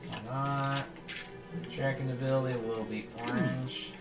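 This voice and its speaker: A man's voice: mid-range voice, fairly even intonation, measured pace. A man hosting a casual gaming video, thinking aloud as he builds a character and often restarting his sentences. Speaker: gaming channel host. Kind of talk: casual let's-play commentary. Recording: echoey room, voice far from mic, game music behind. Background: game music.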